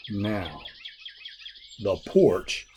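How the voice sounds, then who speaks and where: A man reading a children's folk tale expressively, in a folksy, deliberate storyteller's manner. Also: on the low side, very animated, a little mellow, a little hiss or hum. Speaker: story reader.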